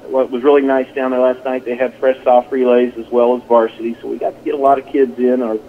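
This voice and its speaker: A man's voice: medium pitch, little pitch movement, fast. A plain-spoken, folksy man, a track coach, giving a casual interview over the telephone. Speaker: high school track and field coach. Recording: tinny phone line with noise.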